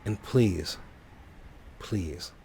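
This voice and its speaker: A man's voice: deep voice, extremely slow, gravelly, mellow, slight background noise. A man giving let's play commentary on a video game, often narrating his own actions in short phrases. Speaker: gaming host. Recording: faint game ambience behind voice. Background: video game ambience.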